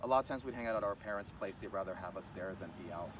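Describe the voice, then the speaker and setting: A man's voice: sits low, rapid-fire. A young man from Los Angeles chatting casually as an interview guest, rambling with lots of fillers. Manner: relaxed, rambling with many fillers. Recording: distant mic, loud traffic outdoors.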